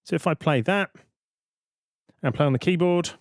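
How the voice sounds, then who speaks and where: A man's voice: on the high side, normal speed, no room sound, clean studio sound. A man presenting a tutorial in an informal, explanatory way, thinking aloud as he goes. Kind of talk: informal software tutorial narration.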